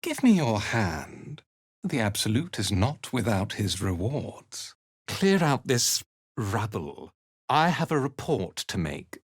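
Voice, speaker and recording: An actor playing a haughty, ruthless cult commander in a video game, speaking in an imperious, deliberate, disdainful manner. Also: male voice, fairly low pitch, very animated, husky, no room sound, studio quality, heavily acted. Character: haughty, ruthless male cult commander, contemptuous slave driver. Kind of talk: video game character acting.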